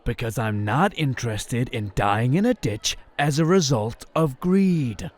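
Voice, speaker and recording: An actor playing a grumpy, cautious young crusader in a fantasy audio drama, blunt, nagging and exasperated. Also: male voice, medium pitch, expressive, unhurried, lightly acted. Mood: wary. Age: young adult.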